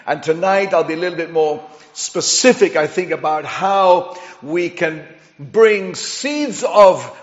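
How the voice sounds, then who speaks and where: High-voiced English-accented preacher, man in echoey hall. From Chile. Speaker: visiting preacher and pastor. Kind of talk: sermon. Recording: dull, echoey hall sound.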